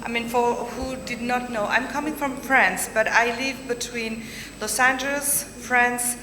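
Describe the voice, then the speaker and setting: A woman from Turkey, giving halting panel remarks at a conference, with many fillers and restarts. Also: on the high side, fairly even intonation, bright, forceful tone. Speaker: conference discussant. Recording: echoey hall with background noise.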